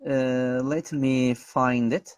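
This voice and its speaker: A man with a Turkish accent hosting an online interview, polite and soft-spoken. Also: medium pitch, little pitch movement, drawn-out words, smooth, no echo. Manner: polite, soft-spoken, frequent laughs and thanks. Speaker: interviewer host. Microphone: video call.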